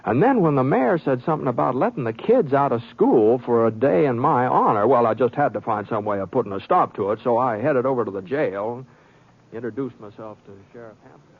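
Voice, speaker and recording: A husky American gunslinger, modest and folksy, forever stumbling into polite protest. His pitch moves around freely, and the vintage radio recording is dull and muffled. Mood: bemused narrating. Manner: hesitant, folksy, stammering protests. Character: modest, flustered male gunfighter of the Old West. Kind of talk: old-time radio western acting with first-person narration.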